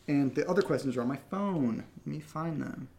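A man co-hosting a podcast in casual chat, even-keeled, with dry, teasing humour. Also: on the high side, a little fast, vocal fry. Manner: level-headed, even-keeled. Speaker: co-host of a horror audio drama podcast, reading listener questions.

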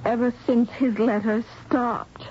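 A woman's voice: fairly high pitch, steady tone, unhurried, lightly acted. An actress playing a shaken but loyal young woman in an American radio mystery, earnest and dramatic. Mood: shocked, stricken. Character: shocked, loyal young woman uncovering a deadly secret. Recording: dull, noisy vintage radio broadcast.

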